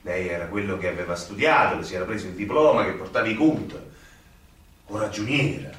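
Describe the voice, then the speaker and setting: An actor playing a stern, idealistic young magistrate haunted by guilt in a radio drama, with emphatic, deliberate phrasing and dramatic pauses. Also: male voice, lowish voice, fairly bright tone, close to an everyday voice. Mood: accusing. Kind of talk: theatrical radio drama acting. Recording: very echoey stage, distant mic, music behind.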